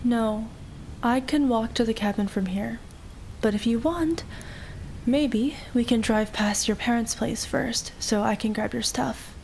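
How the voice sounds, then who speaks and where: A woman playing a practical, concerned young woman in an audio roleplay, speaking in a measured, matter-of-fact way. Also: fairly high pitch, gravelly, a little mellow, slight background noise, rough recording. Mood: calm, concerned.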